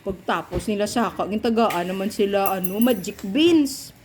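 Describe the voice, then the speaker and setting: A woman telling an improvised story to children in a playful, expressive, informal way. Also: medium pitch, moderate pace, a little hiss or hum.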